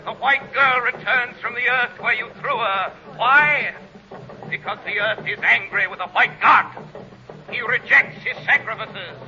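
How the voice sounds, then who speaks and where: High-pitched, taunting invisible avenger. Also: male voice, unhurried, pushed and bright, a little hiss or hum, exaggerated voice. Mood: commanding, taunting. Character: mocking invisible male avenger challenging a villain. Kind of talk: old-time radio drama acting. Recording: dull vintage radio, music and crowd behind.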